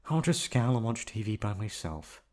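A man's voice: lowish voice, sing-song, moderate pace, darkish tone. A man acting as a teasing, affectionate boyfriend in an intimate ASMR roleplay, coaxing and pleading playfully. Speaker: voice actor performing an audio roleplay.

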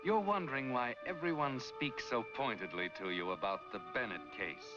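Man acting taunting omniscient narrator, 1940s suspense style, medium pitch, music blaring behind. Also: normal speed, lightly acted. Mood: ominous, taunting. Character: ominous, knowing, taunting male mystery narrator. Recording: dull 1940s film soundtrack, loud music. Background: loud score.

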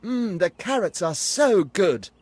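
A friendly animal dad in a children's cartoon game. His voice is very raspy and lively, with a mild, good-natured manner. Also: high voice, takes it slowly, no echo, heavily acted. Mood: mild, pleased.

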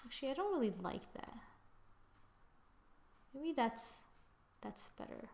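High-voiced young woman thinking aloud. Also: very animated, unhurried, darkish tone. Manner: informal, thinking aloud, muttering asides and sing-song filler. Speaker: tutorial presenter. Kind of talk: casual screen-recorded software tutorial. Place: indoors, voice-over to a screen recording.

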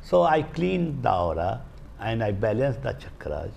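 An older man with an Indian accent explains as an interview guest in a studio, haltingly, searching for words and repeating phrases. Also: medium pitch, big pitch swings, vocal fry, a little mellow, slight background noise. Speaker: guest, Vastu healer. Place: small indoor TV studio. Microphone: lapel mic.

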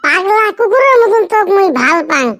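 A man voicing a lovesick, crude young village man in a comedy cartoon, with a northern Bengali accent and a pitch-raised effect. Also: animated, a little fast, pushed and bright, faint music behind, full character voice. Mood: lovesick pleading.

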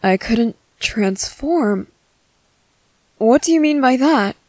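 A woman playing a gentle, worried young merboy in an ASMR roleplay, speaking softly and hesitantly to comfort someone. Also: fairly high pitch, expressive, slow, no room sound. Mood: puzzled, hesitant. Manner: soft, hesitant, soothing.

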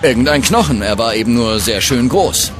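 Dismissive, animated oil company man played by a man. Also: medium pitch, moderate pace, over music, natural voice. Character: dismissive, smooth-talking oil company representative. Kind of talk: children's audio drama acting.

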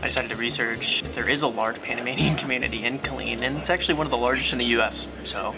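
A man's voice: medium pitch, fast, creaky, loud music behind the voice, rough recording. A young man co-hosting a travel food vlog, easygoing and enthusiastic, talking to a handheld camera in a car and a small restaurant. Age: young adult. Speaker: travel vlogger co-host. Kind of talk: casual vlog chat.

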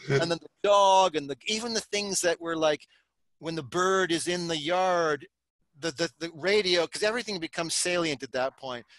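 A man's voice: on the high side, normal speed, dry recording. An older man on a headset mic in a home study, talking animatedly in a casual chat. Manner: animated, gestures while talking, many restarts. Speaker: podcast host. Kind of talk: casual conversation.

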